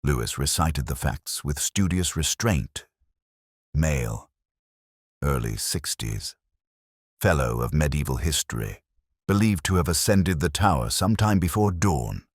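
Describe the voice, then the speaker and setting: A man narrating a literary detective story in the first person, in a measured, brooding and formal manner. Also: extremely low pitch, expressive, husky, no room sound, studio quality.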